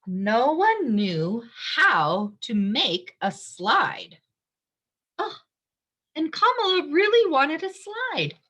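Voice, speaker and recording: A woman reading a picture book aloud to young children, expressively, pausing to explain things simply. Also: high voice, sing-song, fairly bright tone.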